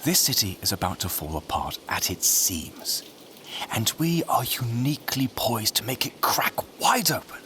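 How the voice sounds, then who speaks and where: An actor playing an urbane, sardonic journalist and schemer in an audio drama, droll and theatrical in delivery. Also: male voice, medium pitch, big pitch swings, normal speed, sharp tone. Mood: scheming, excited. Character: urbane, sardonic male state media journalist, flamboyant schemer. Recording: noisy ambience behind the voice.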